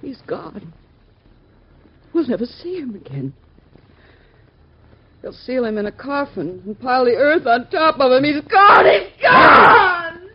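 A woman's voice: medium pitch, lively intonation, measured pace, pauses often, slightly rough, lo-fi sound. An actress playing a mother mourning her son, tearful and pleading, in an old radio drama. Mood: anguished.

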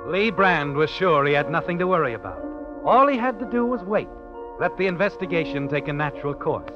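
An actor voicing a sardonic American storyteller of sinister crime tales, knowing and dramatic, in 1940s radio suspense style. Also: male voice, on the high side, lively intonation, fairly quick, close to an everyday voice. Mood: knowing, ominous. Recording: muffled vintage radio recording. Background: dramatic music.

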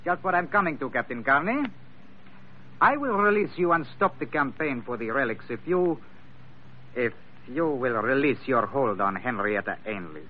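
An actor playing a proud, lovesick crime boss with silky formal politeness and menace, in 1940s radio drama style. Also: male voice, mid-range voice, expressive, moderate pace, a little hiss or hum, natural voice. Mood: earnest bargaining. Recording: thin, tinny vintage radio recording.

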